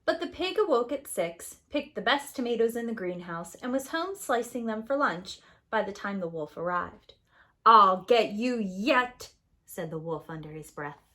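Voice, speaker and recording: A woman's voice: medium pitch, lively intonation, normal speed, hardly any pauses. A woman narrating a scripted children's story as a preschool teacher would.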